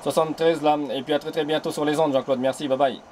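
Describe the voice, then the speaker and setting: A man's voice: a little high, fairly even intonation, fast talker, a little mellow. Man, amateur radio operator, casual friendly chat, old handheld mic, faint hiss. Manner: friendly, adds "là" after nearly every phrase. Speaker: radio amateur filming the contact. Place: home radio station on an island. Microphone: old handheld mic on a transceiver.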